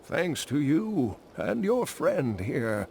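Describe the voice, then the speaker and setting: An actor playing an elderly, earnest scholar in a fantasy game, creaky-voiced and deliberate, like a solemn storyteller. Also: male voice, a little high, sing-song, a little mellow, slight background noise, heavily acted. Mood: relieved, grateful. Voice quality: creaky, aged. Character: elderly male scholar, kindly, earnest, prophecy-obsessed. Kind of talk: theatrical fantasy game dialogue.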